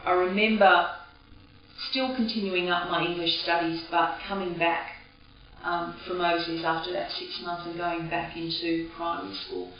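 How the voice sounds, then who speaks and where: A woman with an Australian accent, raised speaking Greek at home, recalling her childhood in a reflective, halting way. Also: fairly low pitch, a little hiss or hum. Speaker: interviewee. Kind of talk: personal reminiscence. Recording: echoey, distant, dull recording.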